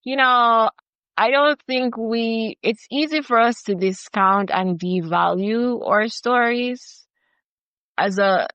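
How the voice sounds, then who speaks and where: A woman's voice: fairly high pitch, takes it slowly, fairly bright tone, clear, resonant tone, no echo, dull audio. A woman with a Jamaican accent, a filmmaker guest in her thirties, talking earnestly and emphatically in an interview, with lots of "like" and "you know". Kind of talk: passionate conversational interview.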